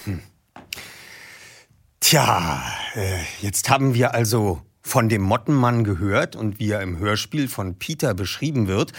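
A man's voice: fairly low pitch, slightly rough. A man hosting a podcast who reads a book passage aloud and then interviews a guest in a casual, eager way.

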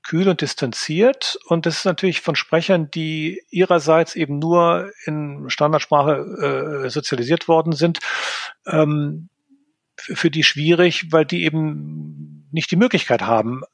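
A man answering interview questions as an expert, speaking near-standard German in a measured way with frequent hesitations. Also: on the high side, vocal fry, dry recording. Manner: measured, hesitant with many fillers. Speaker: linguist guest.

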